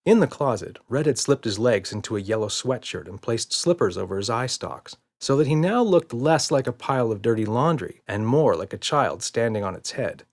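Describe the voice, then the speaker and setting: A man's voice: medium pitch, lively intonation, moderate pace, hardly any pauses. A man reading scripted narration for a children's audiobook as its narrator.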